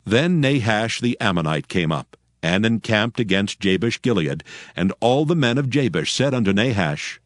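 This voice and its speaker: A man narrating dramatized scripture as a solemn, measured storyteller. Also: on the low side, sing-song, no echo, clean studio sound.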